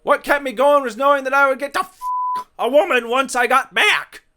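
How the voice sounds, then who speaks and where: A man voicing the ghost of a brash, crude young soldier, shouty and melodramatic, in a visual novel reading. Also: very high voice, brisk, a little hiss or hum. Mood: defiant, crude.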